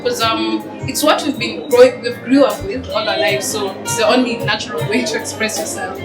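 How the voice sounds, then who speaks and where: Young Kenyan woman over loud music. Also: fairly high pitch, steady tone, normal speed. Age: 20s. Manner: earnest, thinking aloud with many ums. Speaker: music student being interviewed. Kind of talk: casual interview answers. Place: concert venue. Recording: echoey venue with loud music.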